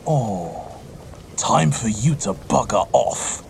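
A scornful, swooping old miser. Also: male voice, fairly low pitch, very animated, slow pace, creaky, noisy background, full character voice. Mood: curt contempt. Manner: scornful, dismissive, theatrical. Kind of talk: audio drama acting.

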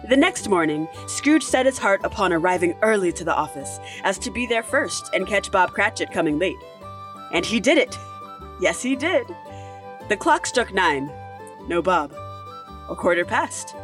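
A woman playing a spirited storybook narrator in an expressive, theatrical audio drama style. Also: mid-range voice, a little slow, over music. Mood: spirited, delighted.

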